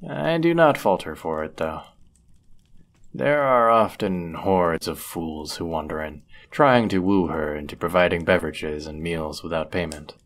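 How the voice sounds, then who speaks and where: A man acting a deep-voiced, courteous medieval innkeeper. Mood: wry, fond. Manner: gentle, polite, measured, formal wording. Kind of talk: intimate roleplay audio acting, formal old-fashioned speech.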